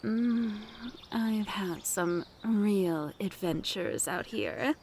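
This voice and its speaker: Slow, flirtatious mature motherly woman, acted by a woman. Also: mid-range voice, a little mellow, a little hiss or hum. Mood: wistful. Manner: coaxing, doting, flirtatious, with sighs and pet names. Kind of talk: ASMR roleplay.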